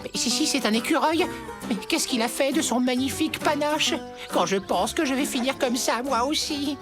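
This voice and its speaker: A woman playing an excitable, overdramatic young male squirrel in an animated cartoon, stammering and indignant. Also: high-pitched, normal speed, close to an everyday voice. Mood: horrified, dramatic. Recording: music under the voice.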